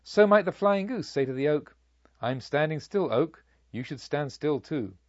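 A man playing a proud, vengeful robber chief who tells his own tale in measured, formal storytelling. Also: on the high side, lively intonation, no room sound, lo-fi sound, natural voice. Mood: baffled, indignant. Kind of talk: audiobook story narration in character.

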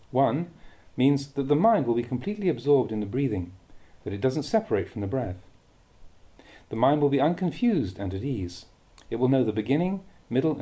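A man reading a Buddhist meditation teaching aloud with measured, deliberate phrasing. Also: medium pitch, warm tone, slightly rough. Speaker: Buddhist monk reading a translated dhamma talk.

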